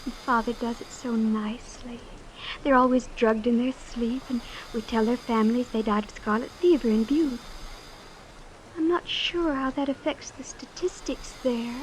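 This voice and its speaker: A faintly noisy vintage radio recording has an actress as a young heiress with a high, girlish voice. She is airy, flighty and spoiled, pouting easily and sounding chillingly blithe and naive. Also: lightly acted. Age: young adult. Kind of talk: old-time radio drama acting.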